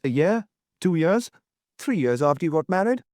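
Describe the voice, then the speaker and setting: A man's voice: a little high, very animated, moderate pace, a little mellow, no echo, studio quality, voice pushed up. A man voicing a solemn examining magistrate in an audiobook, putting short, pointed, formal questions. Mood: insistent.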